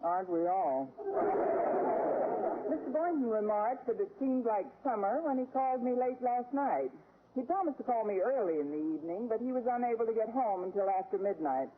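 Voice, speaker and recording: An actress playing a wry, sarcastic schoolteacher in an old radio sitcom, delivering dry wisecracks in a deadpan manner. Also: female voice, a little high, moderate pace, husky. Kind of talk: 1940s-50s radio sitcom acting and narration. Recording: noisy, tinny vintage radio broadcast recording.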